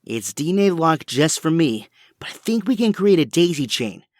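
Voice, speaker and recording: A man's voice: on the high side, sing-song, moderate pace, no room sound, studio quality. A man playing an earnest, brainy young hero with a geeky, kindly manner in an audio drama. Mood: focused.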